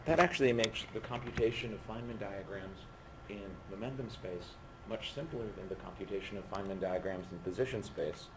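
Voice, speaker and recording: Male lecturer, hoarse and low-pitched, halting delivery, noisy classroom recording. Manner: halting, stopping mid-phrase to write. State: losing his voice. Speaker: physics lecturer. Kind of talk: university lecture at a board.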